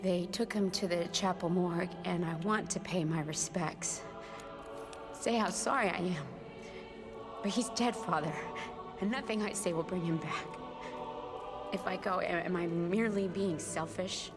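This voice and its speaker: A guilt-ridden woman confesses in a lowish voice. She speaks solemnly and haltingly, weighed down by grief, with clear music behind her. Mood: guilty, grieving. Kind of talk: video game voice acting.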